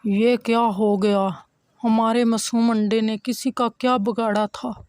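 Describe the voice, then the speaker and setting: Cartoon father bird, voiced by a woman: gentle, earnest, slightly high, slow, closely dry. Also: little pitch movement, no room sound, natural voice. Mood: dismayed. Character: gentle, caring father bird, consoling husband. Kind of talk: Hindi children's moral cartoon acting.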